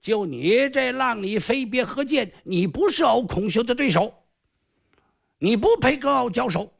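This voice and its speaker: A man voicing a boastful, cunning male martial artist with sorcery tricks, in a shrill sing-song drawl. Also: expressive, measured pace, gravelly, full character voice. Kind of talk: traditional storytelling performance.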